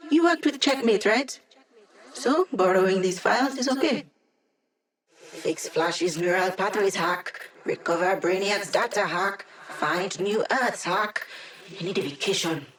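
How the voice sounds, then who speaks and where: A woman voices a young hacker with a dry, deadpan wit, tossing off sardonic asides to her squad. She talks in an easy, natural voice of middling height, like a game's tech-support character. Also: medium pitch, unhurried, natural voice, rough recording. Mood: wry. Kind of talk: video game voice lines.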